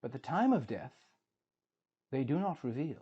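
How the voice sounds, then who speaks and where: A man's voice: medium pitch, big pitch swings, moderate pace, highs cut off, lightly acted. A man voicing a solemn ancient immortal sage who admonishes in a grave, oratorical reading of an epic. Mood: philosophical, grave.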